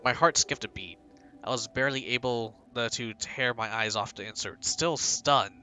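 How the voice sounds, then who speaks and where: Bright-voiced male streamer reading a game. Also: mid-range voice, normal speed, a little hiss or hum. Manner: joking, rambling asides with fillers. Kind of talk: reading a game aloud with asides.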